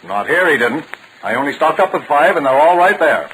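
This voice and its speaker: A man playing a brisk, suspicious American shopkeeper who talks in curt, pointed questions, in 1940s radio comedy style. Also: on the high side, very animated, fairly bright tone, poor audio. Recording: faintly hissy vintage radio recording.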